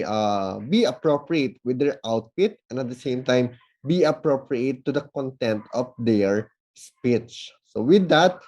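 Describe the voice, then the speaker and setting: A man giving formal, measured feedback as a judge, working through numbered points. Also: mid-range voice, darkish tone, poor audio. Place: online event recording.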